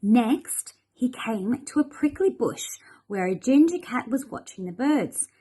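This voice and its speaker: A female teacher reading a children's story aloud with expressive storybook narration. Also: on the high side, unhurried.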